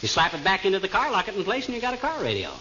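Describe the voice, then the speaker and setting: Folksy blustering amateur inventor, 1940s radio comedy, high animated delivery, slangy. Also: male voice, very fast, exaggerated voice. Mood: boastful. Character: boastful, folksy middle-aged husband and amateur inventor. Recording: muffled, noisy old radio recording.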